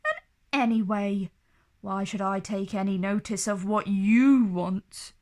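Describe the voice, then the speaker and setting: A woman voicing a big, hungry male dragon in a children's storybook, speaking in a polite, measured, pompous and theatrical way. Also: medium pitch, a little mellow, natural voice. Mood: stern.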